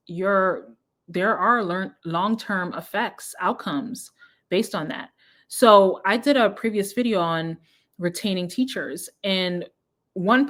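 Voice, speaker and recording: A woman's voice: mid-range voice, a bit bright. A woman in her thirties, born in Jamaica, hosting an education research channel, chatting casually and reading out study abstracts, often correcting herself.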